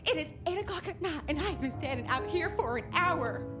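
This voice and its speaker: An actress playing an agitated, brassy client in a noir video game, sharp-tongued and dramatic. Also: female voice, sits high, lively intonation, fairly quick, over music. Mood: indignant.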